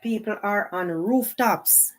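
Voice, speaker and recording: A woman with a Jamaican accent giving casual, unscripted live commentary as a streamer, often repeating her exclamations. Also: medium pitch. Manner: unhurried, repetitive exclamations.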